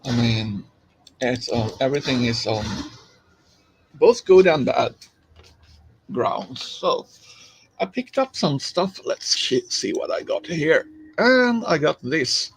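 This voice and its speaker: A man's voice: medium pitch, very animated, slow pace, long pauses, slightly rough, rough recording. A man from Finland with a Finnish accent, chatting casually on a live stream while he plays, rambling along with many fillers and restarts.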